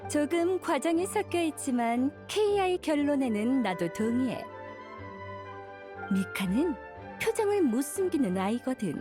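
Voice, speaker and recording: A woman's voice: high-pitched, very animated, a little slow, music in the background. A woman playing a confident, easygoing young woman knight in a game, knowing and conversational. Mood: knowing, casual. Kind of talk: scripted game dialogue.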